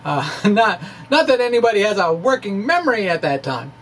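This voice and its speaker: Middle-aged man, high voice, unhurried theatrical delivery, emphatic, science presenter, slightly noisy recording. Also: sing-song, fairly bright tone. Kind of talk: dramatic reading of a physics blog with informal asides.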